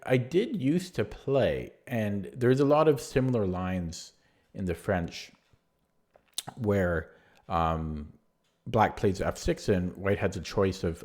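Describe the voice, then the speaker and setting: A man going over one of his own chess games move by move in a relaxed, rambling way, with a voice that is going hoarse. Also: on the low side, slow pace. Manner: rambling, many fillers and restarts. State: losing his voice. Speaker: chess player reviewing his own game. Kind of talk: casual spoken chess analysis.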